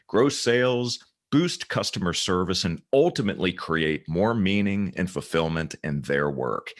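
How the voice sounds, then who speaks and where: Very deep-voiced, lively podcast host. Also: male voice. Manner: enthusiastic, stumbles in questions. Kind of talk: scripted intro, then casual interviewing.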